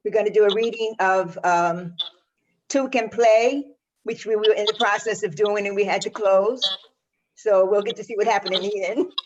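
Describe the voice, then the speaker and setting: A woman's voice: medium pitch, fairly even intonation, moderate pace. A woman making informal announcements on a group video call. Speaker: theatre staff member. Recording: muffled call audio, faint noise.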